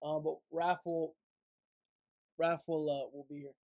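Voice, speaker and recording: A man's voice: on the high side, level tone, unhurried, darkish tone, lo-fi sound. Young man, livestream host, casual chat, blunt, irritable, profane. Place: small dim gaming room at home.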